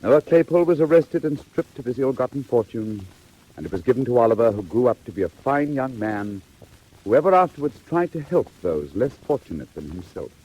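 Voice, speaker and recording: A man narrating a classic story in a theatrical, measured 1940s storybook style. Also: medium pitch, expressive, mellow, slightly rough, low-quality recording.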